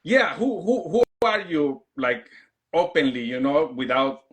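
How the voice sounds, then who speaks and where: A man hosts a live online interview, halting a little and repeating words as he talks to his viewers and his guest. His questions come in short, loose bursts. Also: a little high, big pitch swings, a bit bright. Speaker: host. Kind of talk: live stream interview hosting.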